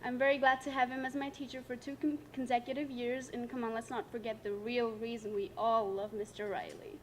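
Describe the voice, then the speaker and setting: Giggly high-voiced young woman. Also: flat delivery, brisk, a little hiss or hum, rough recording. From Nepal. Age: late teens. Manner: giggly and self-conscious, laughing between lines. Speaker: student giving a tribute speech. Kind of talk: prepared speech to an audience.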